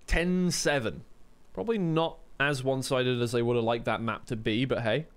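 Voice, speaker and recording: A man's voice: mid-range voice, steady tone, moderate pace, clean tone. A man giving casual, unscripted live play-by-play and analysis as an esports commentator on a stream.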